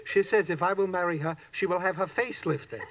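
Droll old professor, high voice, dry wisecracks, radio comedy, very noisy vintage recording. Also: male voice, a little fast, highs cut off. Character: droll, gloomy old professor neighbour, mocking. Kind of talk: 1940s radio comedy acting.

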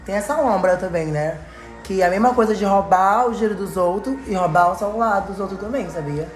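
A woman's voice: mid-range voice, fairly quick, over music. Young woman, chatty and giggly, in an echoey room. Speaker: guest and co-interviewer. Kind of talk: casual joking chat between friends.

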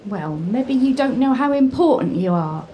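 A woman playing a caring, bossy medieval maidservant in an audio drama, speaking in a brisk, fussing, firm manner. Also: a little high, animated, mellow, slight background noise, close to an everyday voice. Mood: concerned, fussing.

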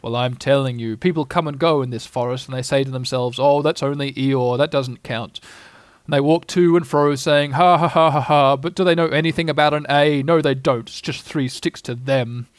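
A man voicing a gloomy, pompous old male donkey who talks slowly, solemnly and bitterly. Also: mid-range voice, fairly even intonation, dry recording, close to an everyday voice.

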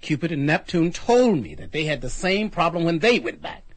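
A bewildered, earnest man in a radio drama. His voice sits high and moves animatedly. He stammers and pleads, easily carried away by his own excitement. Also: normal speed. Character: bewildered, excitable man who met time travelers.

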